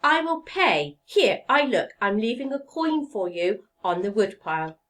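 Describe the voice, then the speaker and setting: A woman's voice: medium pitch, sing-song, unhurried, fairly bright tone. A woman doing an eager old woodcarver. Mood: flustered. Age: older. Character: old male woodcarver, kindly, nervous, hopeful.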